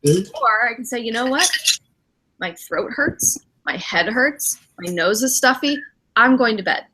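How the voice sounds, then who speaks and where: A woman's voice: a little high, animated, unhurried, bright, forceful tone, breathy edge, rough recording. A middle-aged woman, a spiritual director hosting a casual podcast chat, reflective and acting out her own inner thoughts as she talks.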